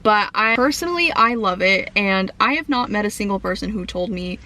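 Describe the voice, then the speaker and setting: A young woman vlogging to camera in a rambling casual chat full of fillers, her voice croaky from a lingering cough. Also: medium pitch, expressive, brisk, sharp tone. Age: young adult.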